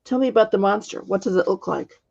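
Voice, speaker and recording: A woman voicing a brisk, decisive, matter-of-fact woman magician in a storybook reading. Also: medium pitch, vocal fry, darkish tone, slightly rough, highs cut off. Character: brisk, capable woman magician taking charge.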